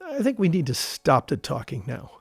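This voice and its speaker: An actor playing a grown war veteran, curious yet uneasy, with a plainspoken conversational manner in an audio drama. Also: male voice, fairly high pitch, huge pitch swings, moderate pace, creaky, husky, no room sound, exaggerated voice. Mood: troubled, confessional.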